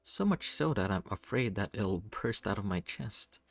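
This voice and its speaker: A man reading a first-person visual novel aloud in an expressive, theatrical way. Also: fairly low pitch, moderate pace, vocal fry, dry recording. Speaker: reader voicing a visual novel. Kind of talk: dramatic first-person story narration. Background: music.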